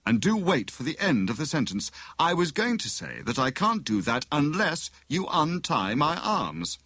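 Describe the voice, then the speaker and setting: A cheerful, flippant young gentleman adventurer, acted by a male narrator, full of breezy storybook banter. His pitch bounces about and the sound is dry and close. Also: big pitch swings, normal speed, no echo, exaggerated voice. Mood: affable. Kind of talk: dramatised audiobook dialogue.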